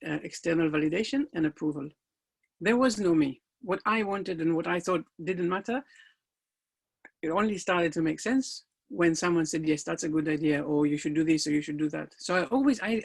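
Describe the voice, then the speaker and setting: High gravelly-voiced coach, non-native speaker. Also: male voice. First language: not English. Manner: earnest storytelling, emphatic rises. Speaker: life coach. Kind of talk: personal motivational talk.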